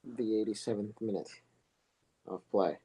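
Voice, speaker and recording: Young male soccer play-by-play voice: low, monotone, mellow and husky, relaxed and rambling. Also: measured pace. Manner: relaxed, rambling, chatty asides between plays. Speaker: soccer commentator. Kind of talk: casual live play-by-play commentary.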